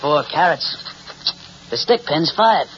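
A man's voice: on the high side, animated, slow, fairly bright tone. A man playing a flirty, boastful middle-aged married man in 1940s radio drama, slangy and forward. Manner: slangy, smooth-talking, forward. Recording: muffled, noisy old radio recording.

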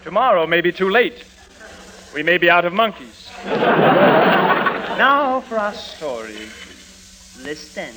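An actor playing a folksy, gushing soap-opera announcer in a 1940s radio advertising parody. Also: male voice, sits high, big pitch swings, slow pace, long pauses, full character voice. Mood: salesman-like. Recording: hissy, crackly old radio recording.

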